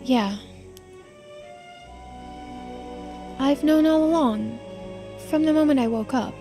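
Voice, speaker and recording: A woman's voice: high voice, normal speed, dark tone, soft background music. A woman voicing a girlish, earnest and resigned immortal character in a visual novel. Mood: resigned, sincere.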